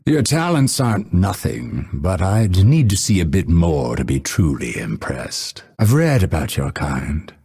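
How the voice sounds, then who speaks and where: Game assassin: low, expressive delivery, aloof and scholarly, haughty formal phrasing, husky edge. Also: male voice, exaggerated voice. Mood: haughty, measured. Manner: measured, formal, haughty, dryly witty. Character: proud, scholarly male assassin, aloof and coolly menacing. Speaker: voice actor. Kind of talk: video game character voice lines.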